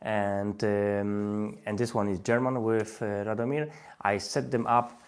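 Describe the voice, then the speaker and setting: A man's voice: fairly low pitch, flat delivery, unhurried, clear, resonant tone. Polish-accented man hosting a live stream. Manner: repeats phrases, coaxing viewers to comment. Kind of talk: live-stream webinar talk.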